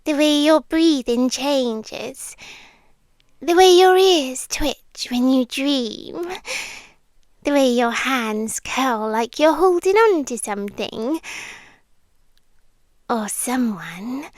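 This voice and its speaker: Woman doing a british yandere gf for asmr, super slow n hushed, whispery, possessive, dry close mic. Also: high voice, lively intonation, no echo. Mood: tender menace. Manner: slow, deliberate, hushed, often slipping into whispers. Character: obsessive yandere girlfriend captor, softly menacing, possessive. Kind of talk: ASMR roleplay. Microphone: close microphone, dry.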